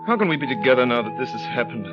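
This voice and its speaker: An actor playing a haunted, bitter, despairing husband in 1930s radio drama, with a brooding, halting delivery. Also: male voice, mid-range voice, animated, over music, natural voice. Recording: muffled old radio recording.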